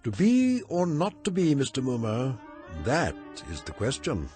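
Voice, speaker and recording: An actor playing a proud, dignified Elizabethan playwright in a radio drama, speaking in formal, archaic, theatrical phrases. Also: male voice, mid-range voice, wildly swooping pitch, unhurried, music in the background. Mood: lofty. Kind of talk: comedic radio drama acting.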